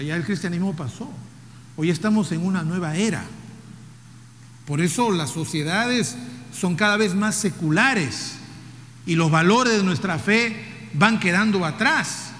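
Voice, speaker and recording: A Peruvian man in his fifties preaching a sermon, who asks the congregation rhetorical questions, repeats key phrases and now and then drops to a soft, low voice. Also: slight background noise. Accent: Peruvian Spanish. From Peru. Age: 50s. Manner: jokes with the audience, drops to soft low tones for emphasis. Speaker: pastor. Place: church hall. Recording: reverberant church hall.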